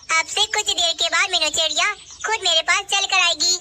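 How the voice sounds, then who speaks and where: A woman's voice: very high voice, voice pushed up. A woman voicing a sly, greedy, boastful female kite bird in a children's cartoon. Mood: gloating. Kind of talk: Hindi children's cartoon dialogue. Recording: clearly noisy, birdsong ambience.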